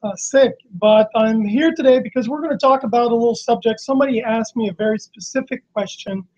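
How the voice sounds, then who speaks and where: Hoarse man explaining a fitness topic. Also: very high voice, brisk, darkish tone. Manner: explanatory, frequent fillers and restarts. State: hoarse from laryngitis. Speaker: fitness coach. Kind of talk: live-streamed lesson. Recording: slightly dull lo-fi stream audio.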